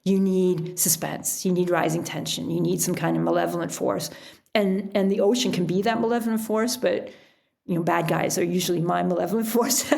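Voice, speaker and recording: A woman talking casually as an interview guest, telling things in an animated storytelling way with illustrative asides. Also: fairly low pitch, vocal fry. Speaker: podcast guest, author and sailor.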